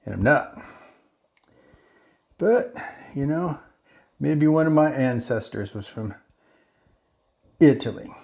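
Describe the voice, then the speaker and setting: A man hosts a casual home cooking video, easygoing and chatty as he walks through a quick recipe. He speaks at an unhurried pace with an ordinary middle pitch. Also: creaky. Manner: relaxed and chatty, slips into a joking mock-Italian accent.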